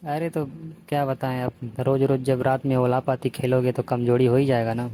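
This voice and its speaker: A man acts out a flirtatious younger brother-in-law with an eastern Hindi-belt accent. His voice is mellow and his talk is colloquial and persuasive, like a staged phone drama. A little noise sits in the call recording. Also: medium pitch, level tone, normal speed, lightly acted, low-quality recording. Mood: coaxing. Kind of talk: staged casual phone conversation.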